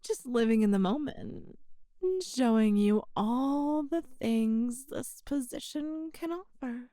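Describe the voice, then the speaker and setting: A woman's voice: fairly high pitch, warm tone, no room sound, studio quality. A woman playing a flirtatious, possessive boss smitten with an employee, speaking in a coy, teasing way in an intimate ASMR audio roleplay. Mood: teasing, seductive. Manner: slow, coy, teasing, breathy pauses.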